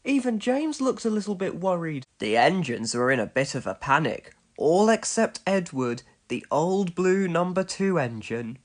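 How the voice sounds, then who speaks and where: A man narrates a children's storybook in an expressive way. His voice sits high for a man and swings widely in pitch as he tells the tale. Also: moderate pace, few pauses. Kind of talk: scripted children's storybook read-along narration.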